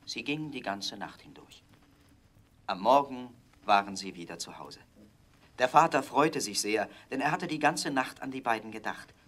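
A male narrator tells a fairy tale for children. His voice is husky and his delivery expressive, with lots of rise and fall. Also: mid-range voice, normal speed. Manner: expressive storytelling.

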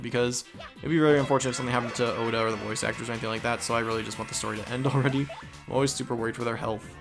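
A man's voice: medium pitch, vocal fry. A young adult man giving casual, rambling commentary as he plays a video game. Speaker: let's play host. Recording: game music behind voice. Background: video game music.

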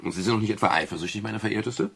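An actor playing a wry, courteous private detective who narrates a 1970s radio crime drama. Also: male voice, fairly low pitch, rapid-fire, creaky, crowd noise. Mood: wry, teasing. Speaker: detective narrator and lead character.

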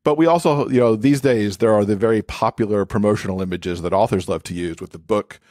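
A man's voice: fairly low pitch, a little fast, a little mellow, no room sound, clean studio sound. A man speaking as an interview guest, explaining things conversationally with frequent "you know" asides. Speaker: podcast guest, accessibility expert and author.

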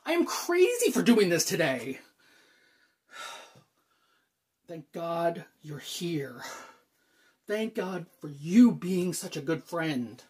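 Man acting anxious, halting thirty-year-old, widely swinging pitch. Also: sing-song, a little mellow, slightly rough, heavily acted. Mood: anxious, grateful. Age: 30s. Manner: halting, nervous, sighs and stammers. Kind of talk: dramatic monologue, self-taped acting.

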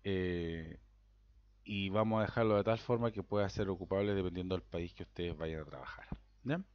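A Chilean man with a Chilean Spanish accent, his voice a bit low, talks through a software install on his computer. He rambles, repeats words and keeps checking in with listeners. The sound is dull and lo-fi, caught by a simple computer mic. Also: normal speed, no echo. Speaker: software tutor. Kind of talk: casual screen-recorded tutorial.

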